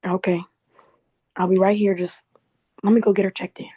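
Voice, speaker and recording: A woman playing a polite, loyal office assistant in a drama table read, conversational and gently concerned. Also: medium pitch, level tone, moderate pace, slightly rough, dry recording, lo-fi sound, natural voice. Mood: concerned, helpful.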